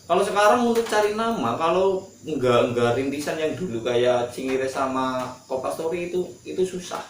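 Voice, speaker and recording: A man's voice: a little high, lively intonation, normal speed. Explaining man, echoey and distant. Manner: explains at length, hesitant fillers. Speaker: guest filmmaker. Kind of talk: casual chat in Indonesian with Javanese phrases. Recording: echoey room, far from the mic, faint noise.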